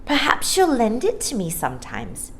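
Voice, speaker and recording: A sweet, curious little girl from a storybook, put on by a woman. The voice is pitched up high and moves in lively swoops. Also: normal speed, a little hiss or hum, full character voice. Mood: pleased.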